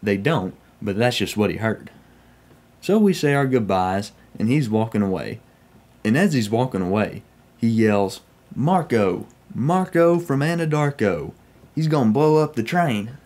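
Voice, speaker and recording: A man's voice: mid-range voice, lively intonation, measured pace, a little mellow. A young man from Oklahoma with a Southern US accent, casually telling first-person railfan stories in a folksy way. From Oklahoma, United States. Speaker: railfan vlogger. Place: small room at home, indoors.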